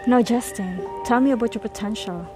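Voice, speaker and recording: A brisk, curt investigator, voiced by a woman, pitched a little high, with music under the radio-play scene. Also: lightly acted. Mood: businesslike. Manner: curt questioning. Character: brisk female investigator questioning students.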